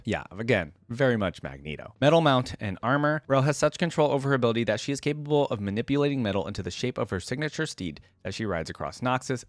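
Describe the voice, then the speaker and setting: A man's voice: lowish voice, no room sound. Casual man doing reaction commentary, dry sound. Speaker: reaction video host. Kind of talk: casual fan reaction commentary, partly reading wiki aloud.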